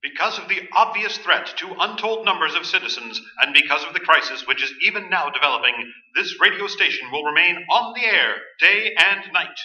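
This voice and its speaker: Man playing a grave radio announcer, formal emphatic delivery, forceful fairly high voice, urgent bulletins, radio drama. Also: animated, a little fast, hardly any pauses. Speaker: actor in a staged radio play. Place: stage performance in an echoey room. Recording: dull, echoey live stage recording.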